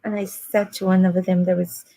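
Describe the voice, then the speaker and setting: A woman from Brazil, native Portuguese speaker, chatting casually with slightly slurred speech and pauses to find words. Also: lowish voice, little pitch movement, gravelly, dark tone, a little hiss or hum. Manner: searching for words. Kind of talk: casual chat.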